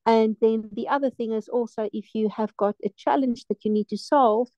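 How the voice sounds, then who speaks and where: Lady on a video call, mellow voice, dull muffled audio, thinks as she talks. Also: fairly high pitch, fairly even intonation, moderate pace, no room sound. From South Africa. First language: Afrikaans. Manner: thoughtful, searching for words. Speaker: meeting participant. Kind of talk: casual video call chat. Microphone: webcam video call.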